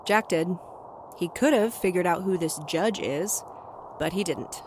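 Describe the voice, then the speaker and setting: A woman's voice: lowish voice, measured pace, background noise, natural voice. An actress playing a tough, sardonic young woman who talks bluntly and narrates her own story in an audio drama. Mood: troubled. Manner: wry, blunt, foul-mouthed. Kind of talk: audio drama dialogue and first-person narration. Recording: music bed under the voice.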